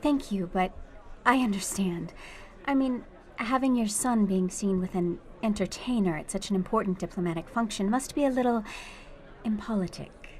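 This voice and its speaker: A woman's voice: medium pitch, normal speed, lightly acted. A woman playing a cunning lady thief who poses as a charming singer, polished and knowing, in a radio drama. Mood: polite, demure. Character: cunning, poised lady cat burglar posing as charming singer. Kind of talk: radio drama acting with first-person narration.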